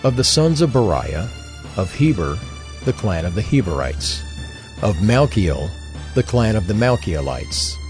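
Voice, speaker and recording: A man's voice: on the low side, big pitch swings, a little slow, over music. A man proclaiming scripted scripture in a formal, declamatory manner, like an official reader. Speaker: list reader.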